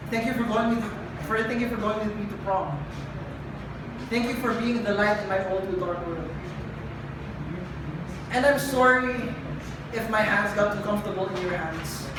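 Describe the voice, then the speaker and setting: A young adult poet performing confessional spoken word live, with a dramatic, deliberate delivery and emphatic repetition. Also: mid-range voice. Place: live event venue with room ambience. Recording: echoey live room, distant mic, noisy.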